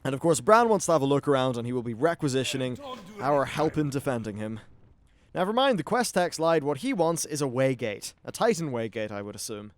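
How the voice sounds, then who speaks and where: A man's voice: mid-range voice, big pitch swings, a little fast. A man hosting a gaming video, giving casual, informal gameplay commentary with some swearing.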